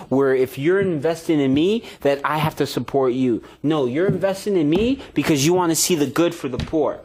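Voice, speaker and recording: A man speaking as a guest on a panel, impassioned, slow and emphatic as he makes his case. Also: fairly high pitch. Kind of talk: panel discussion.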